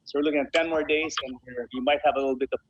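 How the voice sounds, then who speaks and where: Man, slightly high husky voice, polite interview guest, dull lo-fi call audio. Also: steady tone, racing, dry recording. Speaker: guest, bobsled team president. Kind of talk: conversational interview answers. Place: remote call from Manila. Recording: muffled, lo-fi online call.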